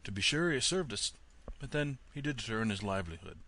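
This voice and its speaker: A man's voice: on the low side, sing-song, a little fast, bright, forceful tone, full character voice. A man voicing a practical shepherd in a fairy tale, firm and matter-of-fact. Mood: dismissive. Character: blunt, practical shepherd master.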